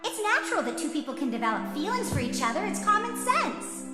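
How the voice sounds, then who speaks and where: A woman's voice: very high voice, sing-song, a little fast, over loud music. A woman voicing an earnest, brave teenage schoolgirl in an English anime dub, speaking sincerely and emphatically. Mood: resolute. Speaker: voice actor.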